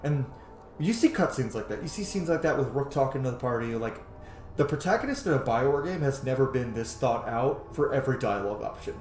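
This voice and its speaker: A man's voice: medium pitch, animated, a little fast, darkish tone. Man, casual gameplay commentary, opinionated, profane, roomy echo, faint music. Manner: profane, informal. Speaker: video game streamer giving commentary. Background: faint game music.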